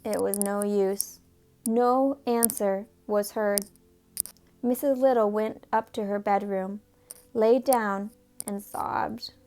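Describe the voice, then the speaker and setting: A woman reading a children's book aloud in a measured way, stopping now and then to talk about the pictures. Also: medium pitch, fairly even intonation, warm tone. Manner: measured, pausing to comment on the pictures. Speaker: storybook reader.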